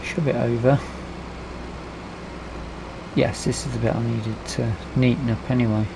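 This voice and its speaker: A man's voice: mid-range voice, fairly even intonation, measured pace, gravelly, background noise. A man giving casual let's play commentary, muttering and asking himself questions as he goes. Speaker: gaming video host. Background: farming game vehicle sounds.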